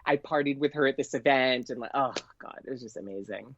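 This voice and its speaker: A man chats as a podcast guest, gushing and effusive in a casual way. His mid-pitched voice comes through dull and lo-fi, with very little room sound. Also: a little fast, slight background noise. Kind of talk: casual interview chat.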